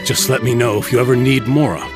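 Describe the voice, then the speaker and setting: A man's voice: mid-range voice, fairly even intonation, quick pace, music in the background, lightly acted. A man playing a courteous, protective middle-aged uncle and guild leader in a video game. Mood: apologetic, earnest. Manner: courteous, theatrical.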